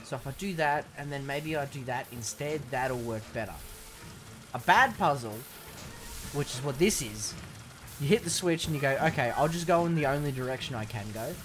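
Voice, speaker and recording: A young man commentating on a video game in a sarcastic, sweary way, with sudden excited outbursts. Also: mid-range voice, moderate pace, background noise. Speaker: gaming commentator. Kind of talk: let's play commentary.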